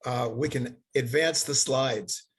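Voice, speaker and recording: An older man who grew up in Bangladesh, giving a halting seminar lecture over slides, with many fillers and restarts. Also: medium pitch, a little hiss or hum.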